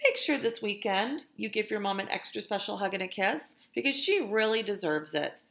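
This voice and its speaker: A middle-aged woman, a teacher, reading a picture book aloud to young children in an expressive, deliberate way, speaking to them directly. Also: mid-range voice, echoey room. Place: indoors in a room at home.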